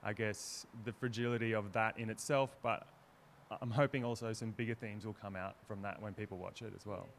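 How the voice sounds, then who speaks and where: A man's voice: fairly low pitch, steady tone, brisk, a little hiss or hum. A man, explaining his work casually and thoughtfully as an interview guest. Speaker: theatre maker being interviewed.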